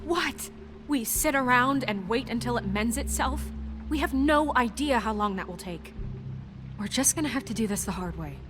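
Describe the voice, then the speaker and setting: An actress playing an earnest, worried but firm young woman in a noir video game, measured and sometimes hesitant. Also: on the high side, animated, lightly acted. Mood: frustrated, firm. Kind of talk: naturalistic video game voice acting. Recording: music under the dialogue.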